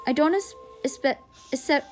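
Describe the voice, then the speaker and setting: A woman voicing a coolly menacing female prison camp warden in an audiobook, soft-spoken, deliberate and threatening. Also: high voice, soft background music, voice pushed up. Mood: quietly threatening.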